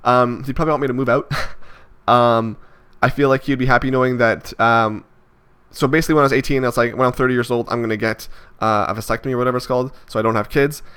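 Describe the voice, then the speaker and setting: A man's voice: medium pitch, fairly even intonation, fast talker, a bit bright, clear, resonant tone. A man in his twenties musing aloud in a vlog, hesitant and rambling, with many fillers and restarts. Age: 20s. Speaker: vlogger. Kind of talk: reflective casual monologue.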